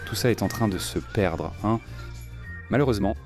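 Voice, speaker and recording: Deep-voiced man vlogging on a bike ride. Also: moderate pace, creaky, music in the background. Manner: chatty, enthusiastic, often repeats "regarde". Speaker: vlog host, cyclist. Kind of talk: casual on-the-ride vlog chat.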